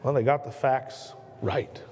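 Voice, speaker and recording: A man in his thirties with an American accent, preaching a sermon from the pulpit in earnest, slowing and dropping his voice on key words. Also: steady tone, gravelly, a little mellow, slight background noise. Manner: earnest preaching, slows and drops low on key words, gestures a lot. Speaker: pastor. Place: church sanctuary at a pulpit. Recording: long church reverb.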